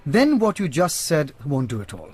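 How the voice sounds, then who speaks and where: An actor playing a brilliant, dry-witted male private investigator, measured and articulate, in a radio drama. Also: a little high, wildly swooping pitch, slightly rough, heavily acted. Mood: dry, cool.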